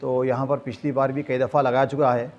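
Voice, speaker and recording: A man's voice: mid-range voice, little pitch movement, moderate pace, dull audio, a little hiss or hum. An older man with a Hyderabadi accent, a community organiser making a formal address to camera with repeated filler phrases. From Hyderabad, India. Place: small clinic room, indoors.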